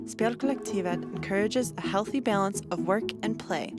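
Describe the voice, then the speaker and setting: A woman's voice: medium pitch, moderate pace. A woman reading a scripted promotional voice-over in a polished, upbeat way. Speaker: promo narrator. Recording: voice-over over loud music.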